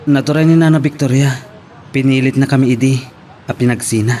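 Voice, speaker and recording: A man's voice: medium pitch, brisk, dark tone, natural voice. A man acting a respectful, pleading heartbroken young man in an Ilocano audio drama. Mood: apologetic. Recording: background noise with vehicle ambience.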